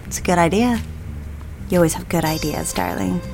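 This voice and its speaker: Bir kadının oynadığı cilveli, tatlı genç anne, arkada hafif müzik. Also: female voice, fairly low pitch, fairly quick, music in the background, close to an everyday voice. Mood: coy, seductive. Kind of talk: audio drama acting.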